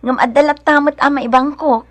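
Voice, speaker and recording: A woman's voice: high voice, fairly even intonation, brisk, lightly acted. An actress playing a devout, caring, anxious young mother in an Ilocano radio drama, with tender, earnest, emotive delivery. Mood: grateful, warm.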